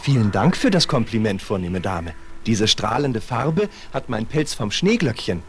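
An actor playing a naive, earnest young snowman in a fairy-tale radio play, speaking with polite wonder and expressive phrasing. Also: fairly low pitch, moderate pace. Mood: courteous, storytelling. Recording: faint hiss of vinyl transfer.

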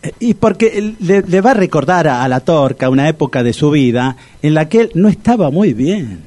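A man's voice: fairly high pitch, animated, moderate pace, darkish tone. An actor with a Rioplatense Argentine accent playing a naive, nervous, wary man in a comic radio play, stammering and blurting out awkward remarks. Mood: earnest, blurting.

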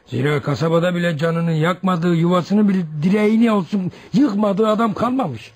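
A man's voice: high-pitched, normal speed. An actor in a Turkish radio play as an indignant, gossiping village headman, exclaiming and confiding respectfully. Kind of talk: Turkish radio theatre acting.

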